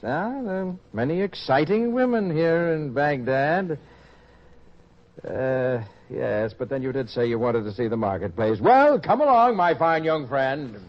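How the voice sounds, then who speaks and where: Slick smooth-talking party tempter, old radio drama, theatrical, highish voice, bit muffled. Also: male voice, big pitch swings. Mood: sly, coaxing. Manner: ingratiating, theatrical, drawn-out phrases. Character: smooth-talking, worldly hedonist man luring a youth. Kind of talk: old-time radio acting. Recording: muffled radio-play audio.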